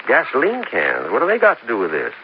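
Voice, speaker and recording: A man's voice: mid-range voice, huge pitch swings, quick pace. An actor playing a defensive, flustered young man under police questioning in a 1950s radio drama, indignant and protesting. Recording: muffled old radio recording, faint noise.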